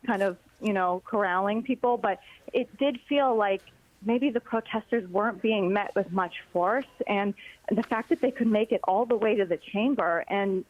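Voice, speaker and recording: A woman's voice: mid-range voice, moderate pace. A young woman reporter phoning in a live report, recounting events hesitantly with many filler words. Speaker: congressional reporter. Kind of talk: live phone report on breaking news. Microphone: phone. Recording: muffled lo-fi phone line.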